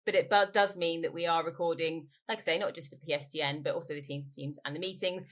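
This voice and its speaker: A woman's voice: low voice, fairly even intonation, quick pace, fairly bright tone. A woman being interviewed as a company expert, explaining things informally in a conversational way and rephrasing as she goes. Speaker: interviewee, company growth director.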